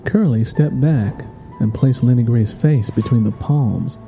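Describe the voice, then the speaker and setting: A man's voice: mid-range voice, unhurried, creaky, mellow. A man reading scripted, dramatic narration for an audio drama. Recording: music behind the voice. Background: music.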